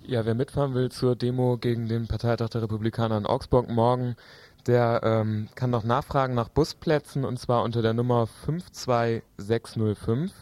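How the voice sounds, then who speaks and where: A man's voice: mid-range voice, steady tone, normal speed, darkish tone. A man giving an informal announcement with practical details on the radio.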